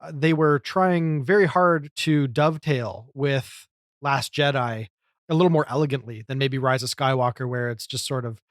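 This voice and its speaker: A man's voice: mid-range voice, steady tone, normal speed, no echo, studio quality. A man chatting casually as a podcast co-host, enthusiastic and a little rambling as he shares his opinions. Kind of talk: casual film discussion.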